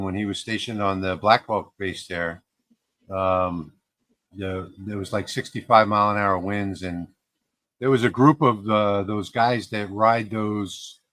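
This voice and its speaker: A man's voice: lowish voice, a bit bright, no echo. A man in his fifties hosting a casual livestream, talking in a rambling way with fillers and restarts. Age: 50s. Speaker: livestream host.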